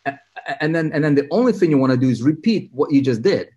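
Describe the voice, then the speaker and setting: A man hosting a video call interview, energetic and enthusiastic, rambling with frequent restarts. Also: a little high, fast, slightly rough, lo-fi sound. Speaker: interviewer, mentor. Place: indoor room with city view window.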